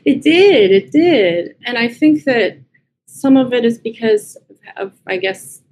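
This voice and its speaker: A woman's voice: a little high, lively intonation, takes it slowly, darkish tone, slight background noise. A woman in her thirties with an American accent, talking casually and reflectively as an interview guest. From United States and Brazil. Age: 30s. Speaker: podcast guest, writer and editor.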